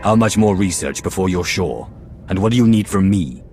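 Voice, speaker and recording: An actor playing a cold, ruthless Sith lord who speaks in a measured, imperious way in a game cutscene. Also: male voice, deep voice, animated, dark tone, faint music behind. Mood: businesslike. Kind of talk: video game cutscene acting.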